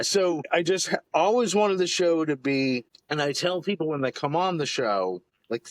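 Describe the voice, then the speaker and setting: A man's voice: on the high side, no echo, rough recording. A man in his fifties with an American accent, hosting a podcast in casual, rambling chat full of fillers. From Capital Region of New York. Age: 50s. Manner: rambling, filler-heavy storytelling with lots of laughs. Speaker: podcast host.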